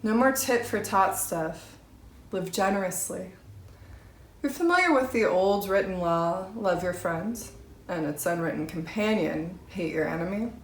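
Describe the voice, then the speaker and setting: A woman's voice: on the low side, unhurried. A woman reading scripture aloud for a church, with emphatic, direct delivery. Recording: roomy, echoing sound.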